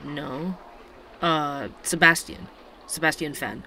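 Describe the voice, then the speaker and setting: A man's voice: a little high, takes it slowly, bright, forceful tone, slight background noise, full character voice, rough recording. An actor playing a nervous, eager male barista and amateur reporter in an audio drama, flustered and hesitant. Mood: apologetic, earnest.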